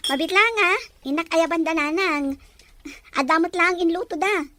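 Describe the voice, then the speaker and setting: A woman's voice: very high-pitched, normal speed, heavy background noise. A woman acting a dutiful young graduate, hurt yet kind, in an audio drama with an Ilocano accent. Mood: happy, grateful.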